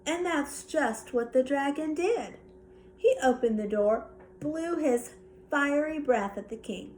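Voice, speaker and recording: A woman reads a children's bedtime story expressively in a high voice. She takes it very slowly, with a little noise in the background. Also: animated, a little mellow, poor audio. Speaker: storybook reader.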